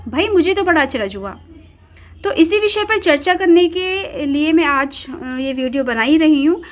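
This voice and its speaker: High-pitched woman, kinda hoarse from a cold, earnest devotional chat to viewers on a phone at home. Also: expressive, unhurried. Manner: earnest, questioning, speaks directly to viewers. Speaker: devotional channel host. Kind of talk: casual devotional talk to viewers. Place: home shrine indoors.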